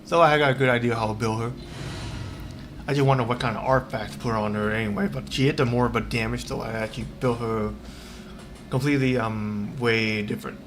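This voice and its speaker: A man chatting casually on a livestream while he plays a game, rambling in a halting, repetitive way. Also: mid-range voice, fairly even intonation, noisy background. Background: game music.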